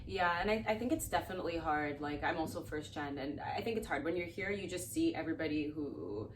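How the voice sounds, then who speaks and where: A woman's voice: sits low, lively intonation, brisk, far from the mic. Chatty young woman host, off-mic. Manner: chatty, upbeat, laughs easily, lots of fillers like "like". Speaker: podcast host. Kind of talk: casual conversational interview. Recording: off-mic with audible background noise.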